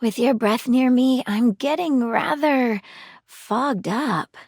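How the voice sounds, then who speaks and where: A woman's voice: on the high side, slow pace, darkish tone, no room sound, clean studio sound. A woman voicing an earnest female detective who is a household object in a dating game, with formal, precise phrasing. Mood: flirty. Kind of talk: game dialogue clips. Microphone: studio booth mic.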